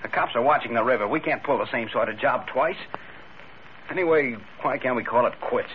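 An actor playing a wary, reluctant gang member in 1940s radio style. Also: male voice, mid-range voice, fairly quick, lightly acted. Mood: wary protest. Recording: muffled, faintly noisy old radio recording.

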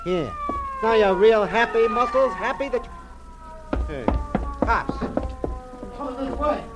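A man's voice: very high-pitched, slow. A man acting a sneering, cornered small-time crook in a 1940s radio drama. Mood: sneering, agitated. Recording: faintly noisy vintage radio recording.

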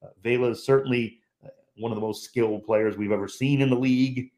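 A man's voice: medium pitch, fairly even intonation, fast talker, darkish tone. A man who calls games on the radio, a guest on a podcast chat, talking in an emphatic, opinionated way and repeating points for stress.

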